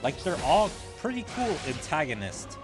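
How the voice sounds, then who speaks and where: A man's voice: fairly high pitch, big pitch swings, normal speed. Man rambling through casual game commentary. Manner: rambling, thinking out loud. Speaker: gaming streamer. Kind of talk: casual game commentary, reading dialogue aloud. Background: game music.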